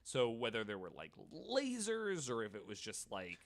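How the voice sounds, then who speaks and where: Male podcast host, mid-pitched voice, casual storyteller with an irreverent, sweary streak and stammered restarts. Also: huge pitch swings, moderate pace. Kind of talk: casual storytelling chat, sometimes reading quotes aloud.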